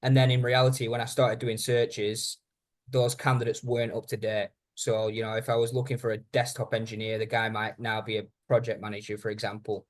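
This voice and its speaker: A man presenting a software training webinar in a conversational, informal way, speaking directly to his listeners. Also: fairly low pitch, flat delivery, a little fast.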